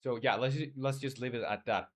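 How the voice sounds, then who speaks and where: Guy streaming his game dev, average pitch, casual and kinda hesitant, chatting with viewers. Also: fairly even intonation, brisk. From Chile. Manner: informal, hesitant, reads viewer messages aloud and replies. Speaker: game developer live streamer. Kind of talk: casual livestream chat.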